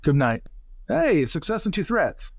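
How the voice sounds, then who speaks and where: A man's voice: high-pitched, huge pitch swings, unhurried, vocal fry, dry recording, studio quality. A man chatting casually as a player in a tabletop roleplaying game.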